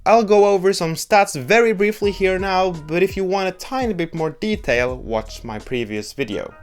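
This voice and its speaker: A man's voice: sits high, animated, normal speed, faint music behind. A young man with a Norwegian accent, a native Norwegian speaker, giving a blunt, joking opinion monologue as a video host. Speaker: YouTube host.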